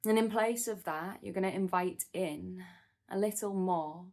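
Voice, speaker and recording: A woman leading a guided meditation in a soothing, gentle manner, with measured instructions and pauses. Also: lowish voice.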